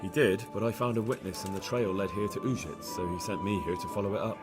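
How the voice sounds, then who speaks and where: An actor playing an earnest, plain-spoken young medieval commoner in a video game cutscene. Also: male voice, fairly low pitch, steady tone, fast, over loud music, lightly acted. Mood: grave, matter-of-fact. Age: young adult.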